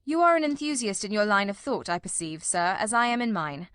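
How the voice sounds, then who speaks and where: A woman voicing a shrewd, self-assured male detective in an audiobook, with a brisk, precise and dryly witty manner. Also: mid-range voice, very animated, a bit bright, clear, resonant tone, no room sound. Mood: polite, probing. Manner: brisk, precise, dry, commanding. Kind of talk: audiobook character voice.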